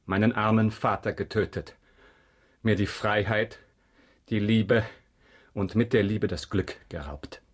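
An implacable wronged nobleman in a radio drama. His low, husky voice is grave and tightly controlled. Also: unhurried, long pauses. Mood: accusing. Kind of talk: German radio drama acting.

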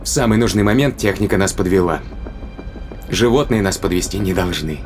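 A man's voice: low voice, animated, fairly quick, darkish tone, soft background music. An actor playing a determined, risk-taking man in an audio drama, arguing insistently and persuasively with rhetorical questions. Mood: agitated, persuasive. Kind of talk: dramatic audio play acting.

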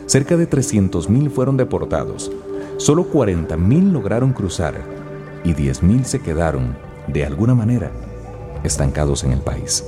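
Male narrator, low and very animated, dramatic scripted documentary read over music. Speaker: radio narrator. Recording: music behind the voice.

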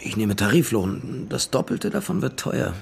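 A hard-boiled private eye from a radio crime play. His voice has a gravelly creak at an ordinary male pitch, and he speaks in a dry, laconic, sardonic manner. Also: big pitch swings, moderate pace, a little hiss or hum, heavily acted. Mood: dry, businesslike. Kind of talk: radio crime drama, first-person narration and dialogue.

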